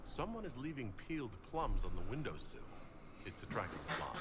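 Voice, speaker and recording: A man playing a composed male leader in a fantasy video game, measured, direct and articulate. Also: medium pitch, big pitch swings, echoey room, heavy background noise, lightly acted. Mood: composed, probing.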